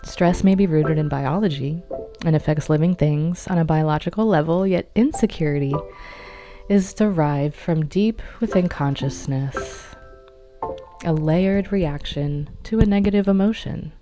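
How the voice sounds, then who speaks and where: A woman narrating a guided meditation in a calm, measured, instructional manner. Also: sits low. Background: meditation music.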